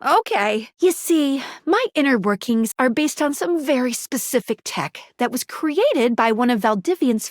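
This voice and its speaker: A perky video game guide, a living pair of magic glasses with a high, girlish pitch. The delivery is quirky and earnest, swinging widely in melody, and recorded close and dry. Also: no echo, full character voice. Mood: flustered, then sheepish explaining. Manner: quirky, expressive, chatty, with cute interjections.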